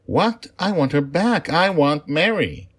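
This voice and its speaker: A man voicing a tiny two-and-a-half-year-old girl dragon who is lost and calling out in a high, loud voice. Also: very animated, moderate pace. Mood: distraught.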